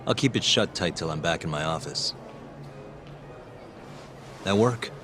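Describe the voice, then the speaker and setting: A man's voice: sits low, expressive, unhurried, a bit bright, soft background music. An actor playing a laid-back, dry-witted male private investigator in an English video game dub. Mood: dry, relaxed. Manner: dry, unruffled, wry.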